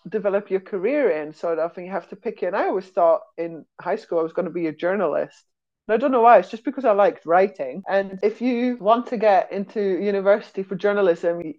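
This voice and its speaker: Woman chatting away casually on a podcast, rambly stories, normal pitch, bit dull sounding audio. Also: a little mellow. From Netherlands and Switzerland. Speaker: zookeeper, podcast guest. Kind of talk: casual anecdotal interview talk.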